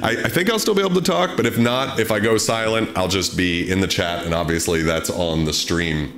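A man's voice: low-pitched, brisk. A man in his thirties chatting casually as a livestream host, close on the mic. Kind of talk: casual chat. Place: small home studio room. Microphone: close desk microphone, headphones on. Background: music.

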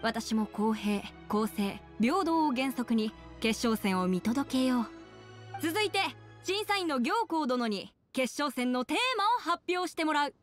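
A young female announcer in an anime game. She is confident and formal, with a high, youthful voice over faint music. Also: animated, measured pace. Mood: confident, ceremonious. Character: confident young female emcee of a cooking contest. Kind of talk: Japanese anime game voice acting.

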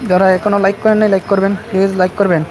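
A man hosting a casual live vlog outdoors, repeating his phrases in a halting way as he addresses viewers. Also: sits high, level tone, rough recording. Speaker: livestream host. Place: outdoors in a village market. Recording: outdoor street with crowd and traffic noise.

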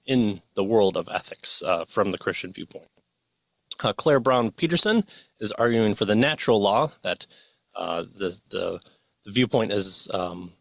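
A man in his thirties talks through a book in a low, gravelly voice, pausing often on fillers and restarting his phrases. He speaks into a boom-arm studio mic in a home library, and the sound is close and dry. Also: a bit bright, dry recording. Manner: rambling, many fillers and restarts. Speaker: podcast host. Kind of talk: casual book discussion.

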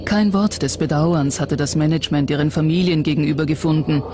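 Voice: medium pitch, a little fast, background noise. A documentary narrator reading a scripted text in a factual documentary style. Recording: music bed under narration. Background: background music.

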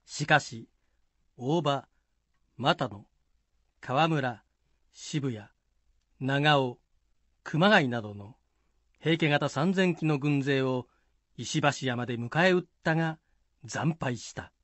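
A man's voice: mid-range voice, animated, slow pace, pauses often, a bit bright, no echo. A man narrating a historical tale from a script as storyteller.

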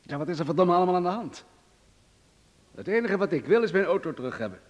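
Husky irascible rich boss, imperious, gruff, radio play, long reverb. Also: male voice, sits high, big pitch swings, quick pace, highs cut off. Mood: angry, commanding. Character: irascible, domineering wealthy older male boss. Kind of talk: Dutch radio drama acting.

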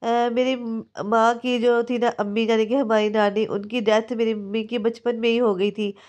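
A woman in her thirties with a Pakistani Urdu accent, chatting through a home vlog and voice-over, hoarse from a sore throat and cold, homely and confiding. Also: a little high, level tone, moderate pace, a little mellow. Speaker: home cooking vlogger. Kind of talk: casual vlog chat and voice-over. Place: small room at home, indoors.

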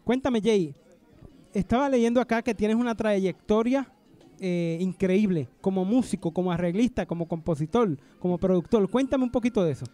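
Bright-voiced radio host. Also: male voice, high voice, moderate pace. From Puerto Rico. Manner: friendly, announcer-like delivery. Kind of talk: radio interview. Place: expo booth, indoors. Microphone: handheld mic.

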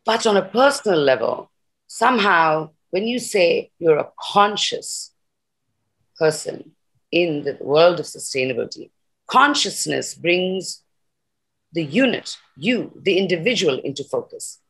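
A woman's voice: fairly low pitch, expressive, a little slow, a bit bright, breathy edge. A middle-aged woman from Nepal, raised in India, speaking earnestly and passionately as a guest on a video call. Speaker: guest, sustainability activist. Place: room at home. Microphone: laptop webcam on a video call.

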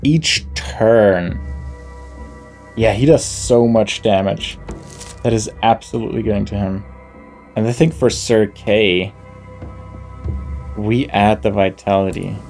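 A man's voice: fairly low pitch, fairly even intonation, takes it slowly, long pauses, darkish tone. A man doing casual gameplay commentary, thinking his choices through aloud in a deliberative way. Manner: deliberative, musing to himself. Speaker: video game let's play host. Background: faint game music.

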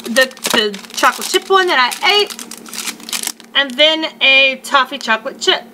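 Bright, high-pitched woman vlogger. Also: lively intonation, unhurried. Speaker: food reviewer, vlogger. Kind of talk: casual unboxing review to camera. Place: living room at home. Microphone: webcam or laptop mic at arm's length. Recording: faint noise, home webcam sound.

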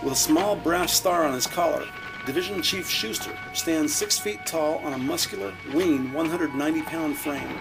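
A man reading scripted audio drama narration in a measured, descriptive way. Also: on the high side, gravelly, over music, poor audio.

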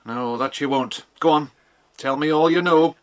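A man's voice: a little high, normal speed, natural voice. An actor with a British accent playing a stern, shifty stepfather who gives insistent, ominous warnings in a children's audio drama. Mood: suspicious, insistent.

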